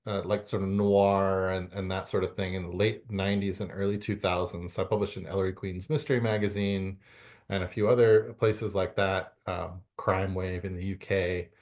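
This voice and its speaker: A man's voice: lowish voice, darkish tone. A middle-aged man from Canada answering interview questions, with many fillers and restarts. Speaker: guest author.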